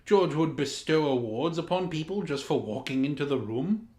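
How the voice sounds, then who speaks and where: Guy doing a pompous georgian lord telling a kids story, highish voice, echoey room. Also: expressive, darkish tone, lightly acted. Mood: arch, indignant. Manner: pompous, dramatic, deliberate diction. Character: pompous Georgian English lord reading a mad royal tale. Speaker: storyteller, host in the guise of a lord. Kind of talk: theatrical children's storybook narration. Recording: roomy echo, music underneath.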